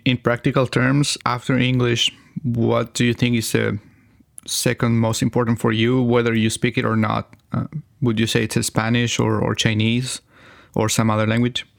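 A man interviewing a guest, asking questions in a halting way and repeating words as he goes. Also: mid-range voice, monotone, gravelly, no room sound, clean studio sound.

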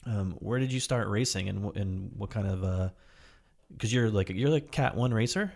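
Male podcast host, very low pitch with heavy vocal fry, informal and halting conversational delivery. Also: darkish tone. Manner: informal, halting, loosely phrased questions. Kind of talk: casual interview chat.

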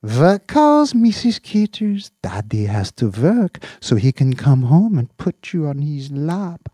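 Shrill, lively, fussy male bureaucrat, as a male narrator puts him on; dry close sound. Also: takes it slowly, husky, no echo, exaggerated voice. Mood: cooing. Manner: high, shrill, wheedling and cooing. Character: fussy petty male official, Slope.

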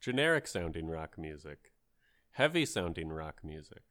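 Male co-host, thirties, low-pitched voice, casual gaming podcast conversation. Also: very animated, normal speed, a bit bright. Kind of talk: casual chat about video games.

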